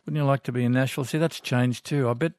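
A man's voice: medium pitch, fairly even intonation, fast talker, a little mellow, no echo, studio quality. An older Australian man hosting a talkback radio show in a chatty, folksy way, reading out listener emails. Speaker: radio host. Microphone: studio microphone.

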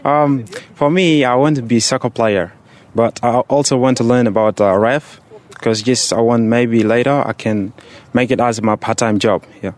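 Teenage male, from Egypt, casual interview answers, hesitant, plain wording, medium pitch. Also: steady tone, normal speed. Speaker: student on a referee course, interviewee.

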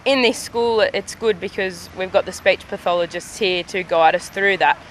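A young woman starting out as an auctioneer, with a deep voice, talking eagerly and with determination. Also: normal speed, a little hiss or hum, poor audio. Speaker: trainee auctioneer.

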